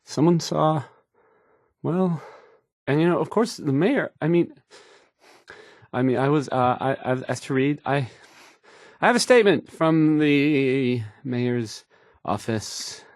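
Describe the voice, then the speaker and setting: A man's voice: fairly high pitch, lively intonation, takes it slowly, dry recording, studio quality. A man acting a shy, nervous young radio DJ in a video game, stammering, hesitant and unsure of himself. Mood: flustered, unsure. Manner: stammering, hesitant, self-doubting, trailing off. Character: painfully shy, nervous, awkward male radio DJ.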